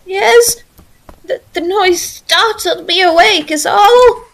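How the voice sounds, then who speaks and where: An actress playing an anxious but stubborn mother in a period ghost-story audio drama, with a deliberate, theatrical manner. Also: extremely high pitch, creaky, full character voice. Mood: determined. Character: anxious but stubborn old-fashioned mother of grown son.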